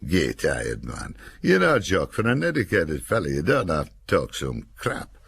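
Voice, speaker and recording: A man's voice: low-pitched, wildly swooping pitch, a little slow, gravelly, fairly bright tone, slightly rough, heavily acted. A man voicing a blunt, cocky British soldier who grumbles and swears in Cumbrian dialect. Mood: grumbling, indignant. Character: young British soldier Nick, blunt, cocky Cumbrian veteran of jungle war.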